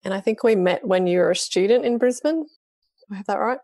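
A woman's voice: medium pitch, animated, brisk, warm tone, no echo, studio quality. A woman hosting a chat, asking easy questions and murmuring short backchannels. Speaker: host. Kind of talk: podcast interview.

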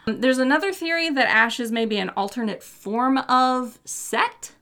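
Woman co-host, casual explainer, fillers and hedges, gravelly creak. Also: high-pitched, a little slow, sharp tone. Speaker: podcast co-host. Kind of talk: casual chat about mythology.

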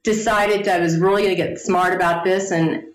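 A woman in her forties telling her story to an interviewer, animated, laughing often and acting out the people she quotes. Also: lowish voice, a little fast. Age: 40s. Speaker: guest. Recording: echoey room.

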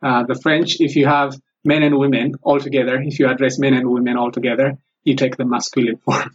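Husky Ethiopian-accented man, halting speech. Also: mid-range voice, level tone. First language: Amharic. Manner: halting, careful, many pauses. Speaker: guest, former English teacher. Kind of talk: interview answers. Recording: lo-fi recording.

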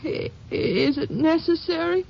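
An actress playing a grieving sheriff's widow in a 1950s radio drama, speaking softly and haltingly with a Texan accent. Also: female voice, sits high, expressive, gravelly, a little hiss or hum, heavily acted. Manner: hesitant, halting. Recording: muffled old radio recording.

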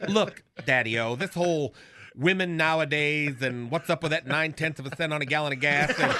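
A man's voice: a little high, very noisy. A man hosting a radio comedy show, delivering a teasing, sarcastic roast. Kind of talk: comic roast monologue. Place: radio studio with laughing cohosts.

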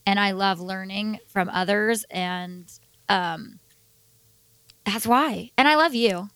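A woman's voice: medium pitch, slow pace, fairly bright tone, smooth, dry recording. A woman co-hosting a podcast in casual, bantering chat, playful and earnest. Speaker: returning podcast co-host.

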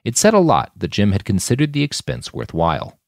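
A man with a low voice narrates scripted fiction for a podcast. His intonation rises and falls expressively, and the sound is dry and close. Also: wildly swooping pitch, fairly quick, dry recording. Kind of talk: scripted dramatic audiobook narration.

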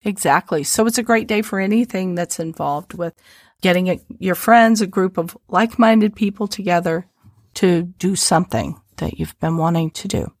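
A woman with a slightly twangy Midwestern American accent, an astrologer co-hosting a podcast and explaining things in a relaxed chatty way. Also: on the low side, moderate pace, dry recording, clean studio sound. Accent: Midwestern American, a little twangy. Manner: explanatory, relaxed, uses homely images. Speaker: astrologer co-host.

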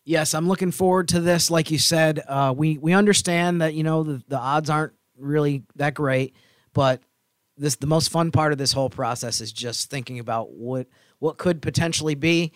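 A man co-hosting a sports podcast, chatting casually in a measured, explanatory way with some hesitation. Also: fairly high pitch, steady tone, dry recording.

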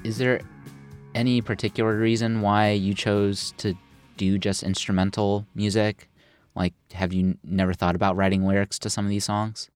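A man asking interview questions in a relaxed, conversational way. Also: on the low side, normal speed, clear, resonant tone, faint music behind.

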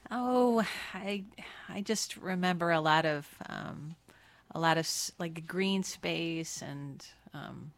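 Woman pastor, very low voice, thoughtful and halting. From upstate New York. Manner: hesitant, many fillers, reflective. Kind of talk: casual interview chat.

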